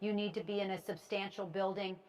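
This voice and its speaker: A woman's voice: medium pitch, fairly even intonation, a little fast, a little mellow, lightly acted. A woman playing a TV meteorologist who explains and instructs in live severe weather commentary. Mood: urgent warning. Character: female TV meteorologist giving urgent tornado coverage. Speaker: weather broadcaster.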